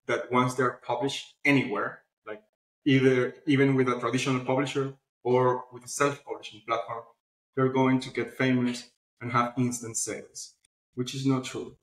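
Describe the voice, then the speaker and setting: A man's voice: medium pitch, little pitch movement, normal speed, fairly bright tone. Man explaining in a roomy echo. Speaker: interview guest, publishing platform founder. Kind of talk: conversational interview answers.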